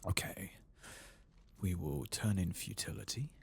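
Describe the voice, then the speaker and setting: A man giving soft-spoken ASMR game commentary, sometimes dropping to a whisper, with a dry, self-deprecating way of murmuring to himself. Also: low voice, a little slow, creaky. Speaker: host of a gaming ASMR channel.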